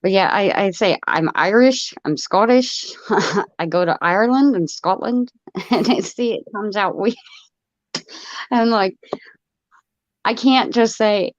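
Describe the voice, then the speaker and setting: Chatty middle-aged stream host with an Ohio twang, always laughing, on a muffled, dry-sounding mic. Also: female voice, mid-range voice, slow pace, pauses often, no echo. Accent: Ohio, with some Florida. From United States. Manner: rambling and chatty, laughing often. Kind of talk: casual live stream chat.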